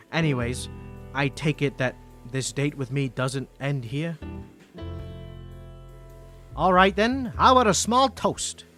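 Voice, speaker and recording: A man's voice: on the high side, pushed and bright. A man playing a suave, flirty mafia boss in a cartoony 1950s voice, speaking politely and self-assuredly in a scripted roleplay. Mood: composed, courteous.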